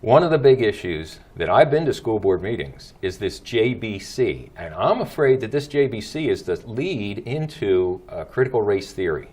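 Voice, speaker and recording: A man's voice: on the low side, very animated, normal speed, few pauses, a little mellow. A middle-aged man hosting a local talk show, emphatic and repeating his points to viewers. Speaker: host.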